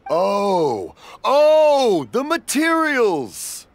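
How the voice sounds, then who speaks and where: An actor playing a cheerful, hard-of-hearing old father and craftsman in an anime game, jovial and unhurried. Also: very high-pitched, huge pitch swings, noisy background, heavily acted. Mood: confused. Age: older. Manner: jovial, unhurried, loud when mishearing. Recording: music behind the voice.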